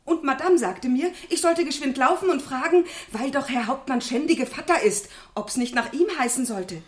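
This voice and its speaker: An actress playing a frantic, simple-minded young maidservant in a period radio play, breathless and exclaiming. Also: female voice, high voice, normal speed, natural voice. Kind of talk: German radio play acting.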